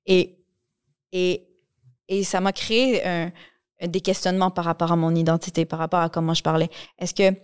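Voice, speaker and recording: A woman's voice: on the low side, flat delivery, normal speed, smooth. A woman from Quebec with a Québécois accent, interviewing others and asking probing questions with frequent restarts and repeated words. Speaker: interviewer, discussion facilitator.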